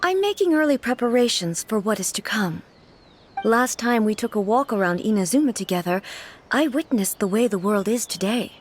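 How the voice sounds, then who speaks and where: A woman voicing an ancient, stern goddess ruler who speaks in a measured, formal and grave way. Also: on the high side, lively intonation, slight background noise, close to an everyday voice. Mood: solemn. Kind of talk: game dialogue.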